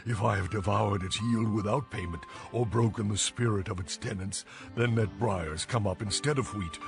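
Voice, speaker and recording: A low, gravelly voice of an anguished righteous man, solemn and declamatory, as in an audio Bible drama. He pleads his innocence with earnest gravity over faint music. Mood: grave vow.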